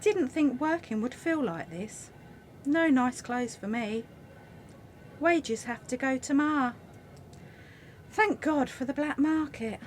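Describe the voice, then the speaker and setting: A woman's voice: sits high, animated, takes it slowly, pauses often, a little hiss or hum. A woman playing a weary, grumbling teenage factory girl in a wartime radio play monologue. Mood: resigned. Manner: complaining, resigned.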